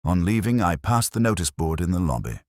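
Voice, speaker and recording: A man's voice: low voice, sing-song, fairly quick, darkish tone, no echo, studio quality. A man voicing a timid, shaken junior clerk in a detective story, hesitant in his delivery. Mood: frightened, breathless awe. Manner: hesitant, stammering.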